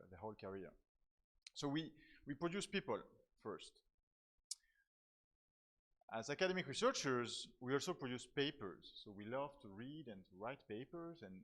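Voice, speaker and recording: A man from France, a professor of software technology, giving a conference talk with many fillers and repeated words. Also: medium pitch. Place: conference hall.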